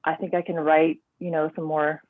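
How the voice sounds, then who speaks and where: A woman author talking about her books in a relaxed, rambling way, full of "um" and "like", over a remote call. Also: deep voice, moderate pace, dry recording. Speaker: author guest. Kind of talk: casual interview chat. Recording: tinny dry phone line.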